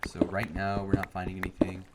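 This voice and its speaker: Young male gamer, deep and monotone, meandering chatter, heavy noise behind. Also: fairly quick. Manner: meandering, full of fillers and restarts. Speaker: gaming video creator. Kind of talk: rambling commentary over gameplay. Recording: loud background noise.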